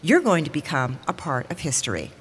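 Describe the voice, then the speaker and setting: A woman's voice: low voice, sing-song, a little fast, slightly rough. Upbeat woman emcee in a reverberant hall. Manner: upbeat, rallying the audience. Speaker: emcee, TV weather reporter. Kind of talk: formal welcome speech at a reception. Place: large hall, at a lectern on stage. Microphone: lectern microphone.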